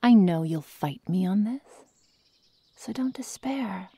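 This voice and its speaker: A woman playing a sadistic young werewolf girl in an ASMR roleplay, coldly teasing and menacing in soft, breathy, often whispered speech close to the microphone. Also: medium pitch, lively intonation, a little hiss or hum. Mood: sadistic calm. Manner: slow, soft, mock-tender menace. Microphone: close microphone, dry sound.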